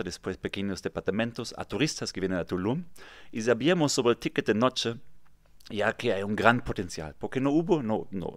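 A German man tells his story conversationally as an interview guest. His voice sits fairly low, with a German accent and halting, non-native phrasing. The sound is dry and close, from a padded studio. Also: no room sound. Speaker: podcast guest, real estate developer. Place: small padded podcast studio, indoors. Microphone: studio microphone with pop filter, headphones.

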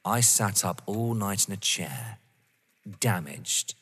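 Casting: male actor playing scornful, wounded rival in an audio play; lowish husky voice, very animated, accusing. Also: slow pace, exaggerated voice. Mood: wounded defiance. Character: defiant, contemptuous male rival, wounded but resolute. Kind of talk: dramatic stage-play acting. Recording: long echoing reverb.

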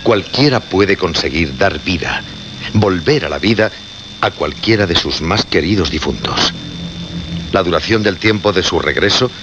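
An actor playing a solemn, ominous radio host of the occult in a horror radio play, with deliberate dramatic pauses and repetitions. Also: male voice, deep voice. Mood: grave, enticing. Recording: muffled, noisy vintage radio recording.

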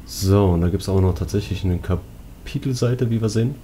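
A man comments casually on a game he is playing. His voice is low, dark and mellow, with a husky, unclear edge. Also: moderate pace. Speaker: let's play host.

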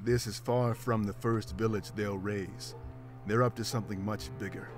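An actor playing a big, gruff, pragmatic male wolf-like warrior in a video game, serious and firm. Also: fairly low pitch, fairly even intonation, moderate pace, music in the background, close to an everyday voice. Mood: guarded, grim.

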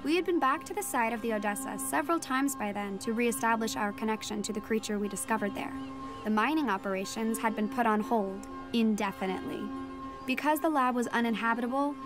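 High-voiced earnest teenage daughter narrating played by a woman. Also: natural voice. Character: teenage daughter of a marine biologist, earnest storyteller. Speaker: narrator and lead character. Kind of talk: scripted first-person audio drama narration.

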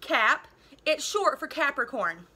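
A woman's voice: sits high, very animated, slow pace, sharp tone, heavily acted. A woman voicing an earnest, sheltered thirteen-year-old boy in a storybook, literal and a little defensive. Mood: blankly earnest.

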